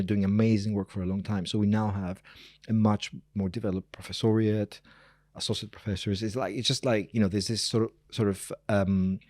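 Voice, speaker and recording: A man's voice: lowish voice, a little mellow, no echo, studio quality. A man, a professor talking casually and digressively as an interview guest. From Greece. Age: 40s. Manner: informal, digressive, emphatic repetition.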